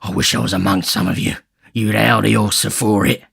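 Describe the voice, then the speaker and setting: A man's voice: fairly low pitch, fairly even intonation, normal speed, vocal fry, slightly rough, exaggerated voice. A man voicing a desperate, savage robber with a growling manner, as an audiobook villain. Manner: growling, muttering through teeth, shouting. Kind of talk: audiobook character voice.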